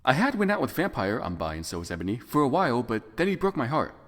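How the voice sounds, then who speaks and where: A man's voice: medium pitch, very animated, fast talker, fairly bright tone, no echo, clean studio sound. A man narrating fan fiction as a comic dramatic reading, in a theatrical, exaggerated manner.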